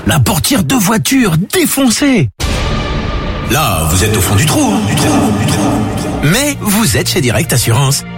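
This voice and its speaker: A man's voice: high voice, huge pitch swings, unhurried, over loud music. A man voicing a radio commercial in a playful, theatrical way. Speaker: ad voice-over.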